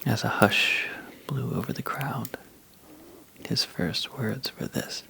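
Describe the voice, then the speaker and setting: A man telling a sleepy ASMR bedtime story in a soft, breathy half-whisper, halting and drowsy, with long pauses. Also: medium pitch, fairly even intonation.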